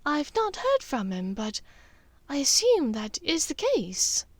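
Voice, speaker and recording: A woman voicing a well-bred lady, genteel, smooth and slightly waspish, guarded and polite, in a storybook reading. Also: high-pitched, huge pitch swings, slow pace, dry recording, pitched above the performer's own voice, full character voice.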